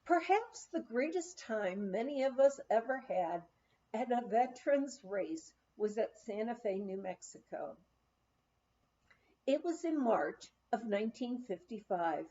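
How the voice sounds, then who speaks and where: A woman reading a first-person memoir aloud in a measured storytelling manner with expressive emphasis, on a dull, slightly muffled recording. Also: a little high. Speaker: audiobook reader of a memoir.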